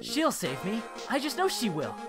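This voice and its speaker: A woman's voice: a little high, wildly swooping pitch, normal speed. A woman voicing a naive, lovestruck young male student, hesitant and earnest, in an anime-style fan dub. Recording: music behind the voice.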